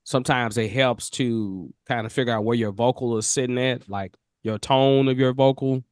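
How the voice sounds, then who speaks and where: A man with a nasal voice tutoring a student one-on-one on a video call, relaxed and encouraging, often repeating his words. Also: mid-range voice, moderate pace, clean tone, no echo, clean studio sound. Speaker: audio mixing tutor.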